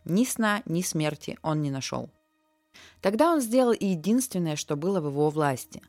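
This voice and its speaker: A woman with a slightly low voice narrates a fairy tale over music. Her reading is measured and expressive, in the manner of a storybook told aloud. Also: no echo. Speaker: storyteller, podcast host.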